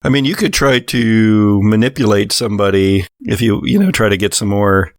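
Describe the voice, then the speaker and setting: A man with a low voice narrates a tabletop horror game as its game master. His delivery is conversational and halting, and the sound is dry and close. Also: lively intonation, no room sound.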